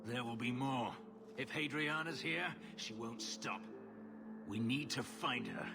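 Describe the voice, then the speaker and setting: An actor playing a grim, bitter elf warrior who escaped slavery, in a terse, intense video game performance. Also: male voice, mid-range voice, measured pace, over music, lightly acted. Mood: grim, urgent. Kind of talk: dramatic video game acting.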